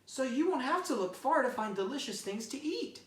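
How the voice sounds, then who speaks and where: A woman's voice: high-pitched, lively intonation, moderate pace, noisy background, pitched above the performer's own voice. A woman voicing a tiny, eager, cheerful mouse in a picture book read-aloud. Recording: roomy, mic at a distance.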